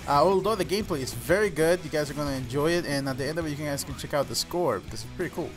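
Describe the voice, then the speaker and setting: A man's voice: a little high, rapid-fire, over music. A young man from El Salvador, a native Spanish speaker with a Salvadoran Spanish accent, hosts a gaming channel, telling personal stories casually and earnestly to his listeners. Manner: earnest, addresses listeners as "guys". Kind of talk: casual personal storytelling over gameplay commentary.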